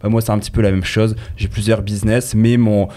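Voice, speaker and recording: A man's voice: fairly low pitch, level tone, fast, warm tone. A man in his thirties with a French accent, a guest chatting casually on a podcast. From France. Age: 30s. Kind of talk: casual chat.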